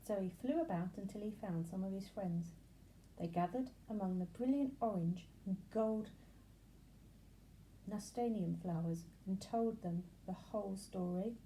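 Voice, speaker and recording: A woman reading a children's story aloud in an expressive narrating style. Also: mid-range voice, measured pace, a little hiss or hum, poor audio. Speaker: storybook reader.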